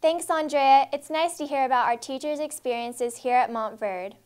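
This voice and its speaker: A woman's voice: high voice, moderate pace, darkish tone, smooth. A teenage girl reading scripted lines as the anchor of a student news show in a school studio. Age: teens.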